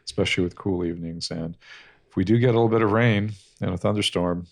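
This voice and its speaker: A man's voice: sits low, fast, creaky, darkish tone. A man co-hosting a podcast with his wife, chatting casually and playfully. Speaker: podcast co-host. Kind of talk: casual chat. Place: home, Southern California.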